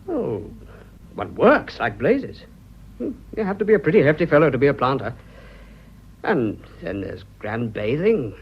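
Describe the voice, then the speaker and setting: Radio drama: boyish British planter, young man, sincere, animated delivery, husky edge. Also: medium pitch, unhurried, dull audio, heavily acted. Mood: breezy, cheerful. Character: earnest, boyish young English colonial planter in love.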